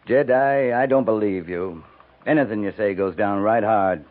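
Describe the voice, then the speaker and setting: Through thin old radio sound comes a man acting a low-voiced American cavalry captain. He is grave and kindly, measuring out short, solemn phrases in the manner of a fifties western drama. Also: moderate pace, dry recording, natural voice. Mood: grave, probing. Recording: narrow-band 1950s radio recording.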